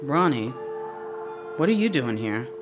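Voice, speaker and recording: A woman's voice: extremely low pitch, big pitch swings, a little slow, heavily acted. An actress playing a defiant, wary woman on the run, curt and firm, in a radio drama. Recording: music under the dialogue.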